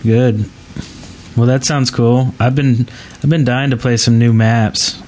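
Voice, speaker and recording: A man's voice: medium pitch, fairly even intonation, takes it slowly, darkish tone, a little hiss or hum. A man co-hosting a casual gaming podcast, agreeable and speaking in short interjections. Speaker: podcast co-host.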